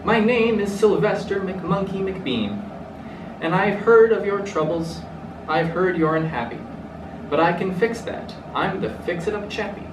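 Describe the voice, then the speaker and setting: A man voicing a slick, sly fix-it salesman in a children's storybook, pitching persuasively in rhyme. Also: lively intonation, measured pace. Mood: eager pitching. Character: slick, sly male fix-it salesman, smooth-talking huckster. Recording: roomy, distant mic, clearly noisy.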